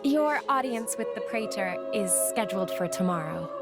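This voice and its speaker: A woman's voice: mid-range voice, animated, normal speed, over loud music, natural voice. An actress playing a courteous, composed female envoy in a video game, speaking with polite formality. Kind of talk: video game cutscene voice acting.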